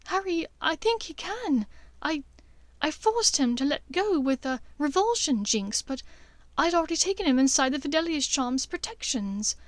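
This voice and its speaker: A woman's voice: high voice, animated, moderate pace, no room sound, voice pushed up. A woman voicing an anxious young witch, urgent and pleading, in an audiobook story. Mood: guilty, distraught.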